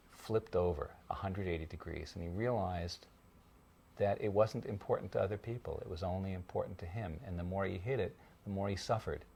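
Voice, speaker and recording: A man, a speech therapist, narrating a documentary in a calm, measured way. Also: low voice, gravelly.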